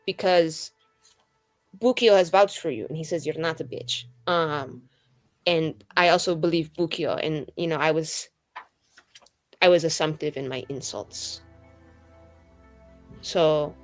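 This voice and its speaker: A woman playing a tabletop roleplaying game, speaking in a halting, rambling, improvised in-character style with casual asides. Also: deep voice, faint music behind.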